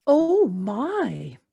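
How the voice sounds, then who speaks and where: A woman's voice: sits high, vocal fry, dark tone, husky, full character voice. A woman playing a timid, anxious little male mole in an amateur audio play, speaking hesitantly. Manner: hesitant, halting.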